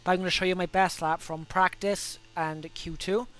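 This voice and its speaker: A man's voice: high-pitched, steady tone, normal speed, a bit bright. Welsh man talking through his racing laps. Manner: chatty, self-correcting, many fillers. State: a cold coming on, voice rough. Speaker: gaming video host. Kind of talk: casual gameplay commentary.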